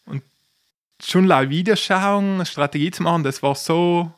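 A man's voice: high-pitched, moderate pace. South Tyrolean man chatting enthusiastically. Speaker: podcast guest, professional poker player. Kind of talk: casual chat, personal storytelling.

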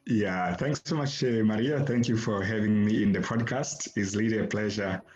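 Halting man, deep voice. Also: darkish tone, highs cut off, faint music behind. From Tanzania. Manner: halting, often repeats words when starting phrases. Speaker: academic guest. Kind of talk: podcast interview answers.

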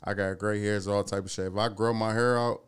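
A man in his thirties from the Cleveland area telling animated, slangy stories in casual chat, swearing freely. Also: on the low side, fairly quick, smooth. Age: 30s.

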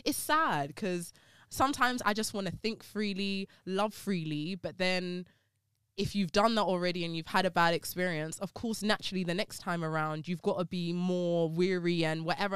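A woman in casual conversation, animated and talkative, with laughing asides. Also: normal speed, clean tone, no room sound, studio quality. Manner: animated, laughing asides, rises in pitch when joking. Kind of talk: casual chat.

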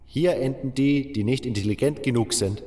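A man's voice: medium pitch, normal speed, dark tone, long reverb tail, pitched above the performer's own voice, natural voice. A man voicing an old, weathered, resigned miner with a fatherly, plain-spoken manner in an audiobook. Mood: wry, disdainful. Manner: seasoned, plain-spoken storyteller, addresses listener as a youngster. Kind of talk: audiobook character voice.

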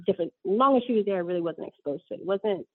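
A woman's voice: medium pitch, expressive, racing, dry recording, narrow, tinny audio. A woman in her thirties telling her story as an interview guest, rambling with many ums and self-corrections.

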